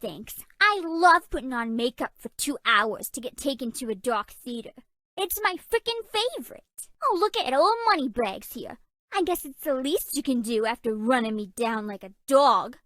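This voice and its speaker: Sassy young woman, dating game sweetheart, swooping high voice, slangy tough teasing, dry studio sound. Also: animated, moderate pace, fairly bright tone, dry recording. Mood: sassy, grudging. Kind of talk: video game character voice lines.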